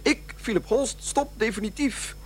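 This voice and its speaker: An actor playing a desperate, self-deluding middle-aged smoker in a radio drama, talking in an earnest, halting, theatrical way. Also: male voice, very high-pitched, slight background noise.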